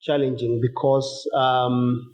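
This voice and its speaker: A man with a Kenyan accent, a company founder, answering interview questions in a measured, thoughtful way with pauses. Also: mid-range voice, flat delivery, a little mellow, low-quality recording.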